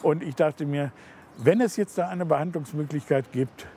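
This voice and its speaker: A man's voice: on the high side, normal speed, mellow, a little hiss or hum. A man in his sixties speaking thoughtfully in an interview, with some hesitation. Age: 60s. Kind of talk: reflective interview.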